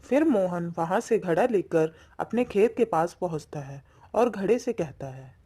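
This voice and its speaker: A woman's voice: fairly low pitch, expressive, unhurried, warm tone, husky. A woman reading scripted narration as the storyteller of a cartoon fable.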